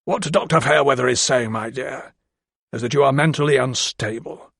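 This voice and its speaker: A man's voice: medium pitch, moderate pace, vocal fry, a bit bright, no room sound, clean studio sound. A man reading an audiobook, voicing a pompous, scheming older lawyer who speaks with patronising menace. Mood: cold. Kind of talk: audiobook character voice.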